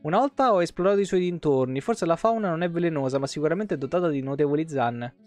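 A man's voice: fairly high pitch, fast talker. A man in his thirties with a tiring voice, reading game lore aloud on a livestream with casual asides. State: voice tired and giving out. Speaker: game streamer. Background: soft plucked guitar music.

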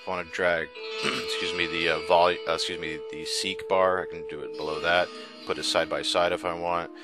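A man giving a casual, enthusiastic software demo in an informal way, as a reviewer narrating a screencast. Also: deep voice, little pitch movement, a little fast. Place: indoors, voice-over on a screen recording. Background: music playing behind the voice.

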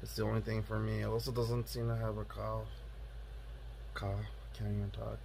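A young man with a hoarse, raspy voice, recording a casual video diary close to his phone camera in a bedroom. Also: lowish voice, monotone, a little mellow, slight background noise. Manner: slow, subdued, many fillers. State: hoarse from illness. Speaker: vlogger. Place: bedroom indoors. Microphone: phone camera close to face.

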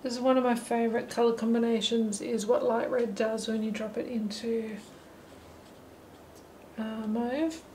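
A middle-aged woman narrating a watercolour lesson while she paints, thinking aloud in a relaxed way. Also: on the high side, a little slow, a little hiss or hum. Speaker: watercolour teacher. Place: holiday apartment, at a table indoors. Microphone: camera mic above the table.

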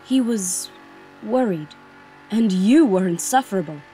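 A woman's voice: mid-range voice, expressive, unhurried, warm tone, soft background music, lightly acted. A woman playing an earnest, insistent young princess in a fan audio drama. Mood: worried.

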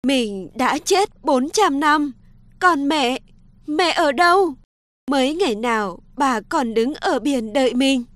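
A woman's voice: high voice, no room sound. A woman voicing an earnest, polite young fisherman devoted to his mother in a cartoon folktale.

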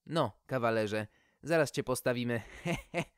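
Storybook hostess: motherly, unflustered, brisk and lilting , performed by a man. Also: medium pitch, smooth, no room sound, studio quality, lightly acted. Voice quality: melodious.

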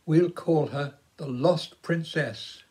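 Gentle, kindly bird-keeper read by older man. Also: a little high, takes it slowly, husky. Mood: explaining. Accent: English. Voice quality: quiet, gentle. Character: gentle, kindly reclusive man tending wild birds.

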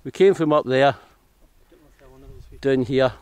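A man's voice: mid-range voice, takes it slowly, a little mellow, a little hiss or hum. Scottish man, hiking vlogger, rambling casual commentary, camera mic outdoors. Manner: chatty, rambling, self-correcting. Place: outdoors on hill paths.

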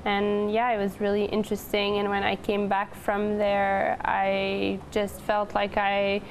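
A woman's voice: mid-range voice, monotone, unhurried, slight background noise, low-quality recording. A woman in her twenties from Montreal, chatting casually as a studio interview guest on a lapel microphone. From Montreal, Canada. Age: 20s. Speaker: interview guest, newspaper editor-in-chief. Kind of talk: casual chat.

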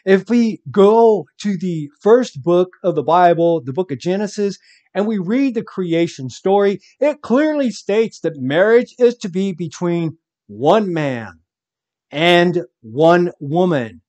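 A man preaching from home, reading scripture aloud and commenting on it with emphasis. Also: high-pitched.

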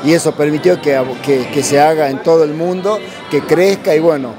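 Argentine man, fairly high voice, crowd around. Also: normal speed, music in the background. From La Rioja, northern Argentina. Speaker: padel coach. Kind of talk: casual interview answers. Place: padel club by the courts. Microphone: handheld interviewer microphone. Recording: noisy crowd around the club.

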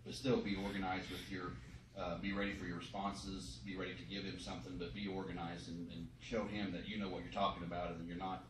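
A man in a workshop audience giving brisk, advising comments. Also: low voice, level tone. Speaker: workshop attendee. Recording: echoey room, far from the mic, noisy.

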